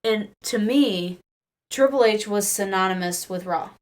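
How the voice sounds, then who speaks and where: Female podcast panelist, medium pitch, casual and enthusiastic fan conversation. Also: a little slow. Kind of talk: casual group chat.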